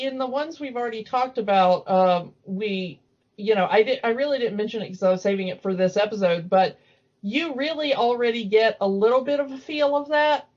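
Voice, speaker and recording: A woman with a fairly high voice chats casually as a podcast co-host. She rambles through cartoon recaps in an amused way, and the recording is slightly dull. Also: a little mellow.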